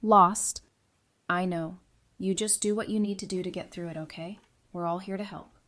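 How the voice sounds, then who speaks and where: An actress playing a supportive, caring, plain-spoken friend in an audio drama. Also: female voice, on the low side, fairly even intonation, normal speed, fairly bright tone, lightly acted. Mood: gentle sympathy.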